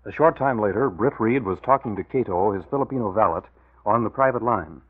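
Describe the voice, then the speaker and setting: A man acting as the measured narrator of a 1940s radio serial, on an old muffled recording. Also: fairly low pitch, natural voice. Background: dramatic music.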